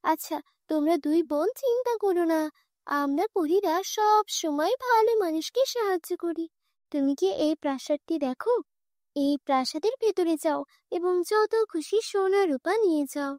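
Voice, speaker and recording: Woman as a very high-voiced righteous fairy. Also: lively intonation, moderate pace, darkish tone, no room sound, clean studio sound. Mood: kindly. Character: righteous female fairy, kindly to good, stern with wrongdoers. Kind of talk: cartoon fairy tale voice acting.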